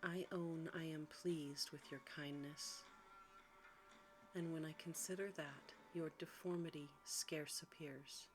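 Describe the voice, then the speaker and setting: A gentle fairy-tale maiden read by a woman, timid yet candid. The voice is low and hushed, polite and earnest, over faint background noise. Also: fairly even intonation, takes it slowly.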